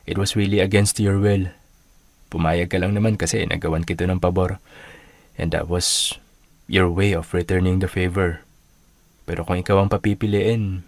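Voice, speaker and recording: A Filipino actor playing a forgiving, introverted young office worker in conversational radio drama. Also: male voice, low voice, no room sound.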